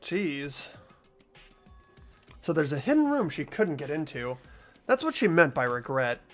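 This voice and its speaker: A man playing an earnest, hesitant, anxious high school boy in an amateur video game dub, narrating and speaking in character. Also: big pitch swings, unhurried. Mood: thoughtful, hesitant. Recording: music behind the voice.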